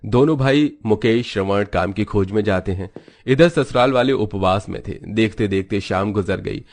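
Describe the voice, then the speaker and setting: Low-pitched male story narrator. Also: normal speed, darkish tone, soft background music. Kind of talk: scripted narration of moral story.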